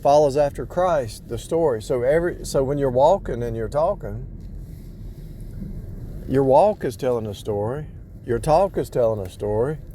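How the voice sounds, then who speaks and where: A man's voice: mid-range voice, warm tone, a little hiss or hum. A man giving casual, off-the-cuff spiritual teaching in a rambling way, repeating and rephrasing himself for emphasis. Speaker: religious vlogger.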